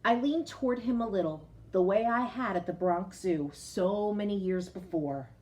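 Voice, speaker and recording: A woman reading a picture book aloud to children like a teacher, expressive, pausing to explain and put questions directly to young listeners. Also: mid-range voice, slight background noise, low-quality recording. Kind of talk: storybook read-aloud with explanatory asides.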